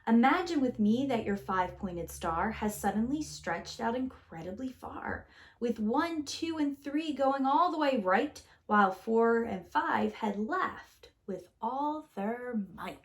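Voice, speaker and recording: A woman reading a children's picture book aloud as a preschool teacher, with an expressive, theatrical, sing-song delivery. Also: a little high, measured pace.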